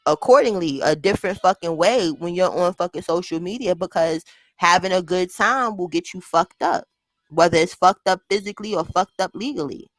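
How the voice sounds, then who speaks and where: Blunt, profane woman with a high voice. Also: dry recording, low-quality recording. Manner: blunt, profane, animated storytelling. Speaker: host. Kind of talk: casual chat.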